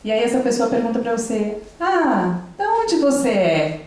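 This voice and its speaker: A woman's voice: a little high, big pitch swings, moderate pace, darkish tone. A woman moderating a panel, asking questions with curiosity and acting out the questions other people ask. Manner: curious, acts out quoted questions. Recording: echoey hall.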